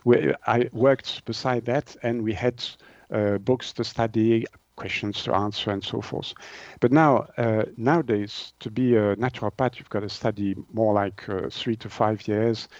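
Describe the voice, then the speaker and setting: A man's voice: lowish voice, fairly even intonation, unhurried, a little mellow, no room sound. Hesitant older Swiss French man, dull audio. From Switzerland. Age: 60s. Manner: hesitant, searching for words. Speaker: naturopath and author being interviewed. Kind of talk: conversational interview answers.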